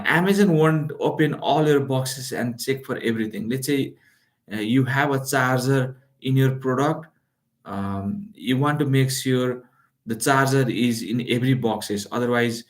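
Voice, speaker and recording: A man with a delivery giving a live how-to lesson, talking loosely with many fillers and restarts. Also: medium pitch. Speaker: online business instructor. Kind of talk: live-streamed how-to talk. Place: lives in Calgary.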